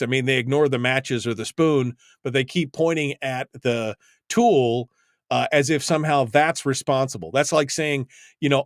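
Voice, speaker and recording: A man hosting a call-in talk radio show, talking in a halting way and often repeating words. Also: medium pitch, dry recording, clean studio sound.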